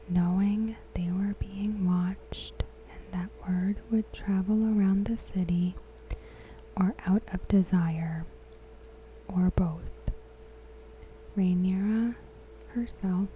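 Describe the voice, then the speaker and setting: A woman softly whispering a scripted recap as an ASMR host, breathy, hushed and deliberate. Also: medium pitch, a little hiss or hum, rough recording. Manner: measured, deliberate, hushed delivery.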